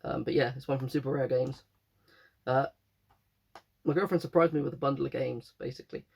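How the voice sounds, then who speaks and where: Croaky man with a cold. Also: medium pitch, steady tone, fairly quick. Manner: rambling, self-correcting. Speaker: game collector vlogger. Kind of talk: casual chat.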